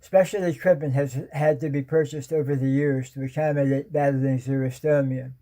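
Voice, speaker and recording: Man reading testimony, mellow tone, monotone delivery. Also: medium pitch, moderate pace. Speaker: patient speaker. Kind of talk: prepared testimony read aloud.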